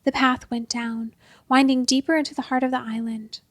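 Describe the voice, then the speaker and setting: A woman reading a short story aloud as narrator, often dropping to a hushed, breathy whisper. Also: high voice, little pitch movement, normal speed, no echo.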